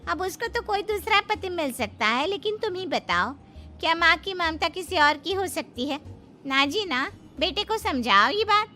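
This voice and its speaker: A woman's voice: very high-pitched, animated, normal speed, bright, forceful tone, voice pushed up, exaggerated voice. A woman voicing a gossipy, meddling older neighbour in a cartoon family drama, scolding and insinuating. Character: gossipy meddling older female neighbour friend, stirring trouble.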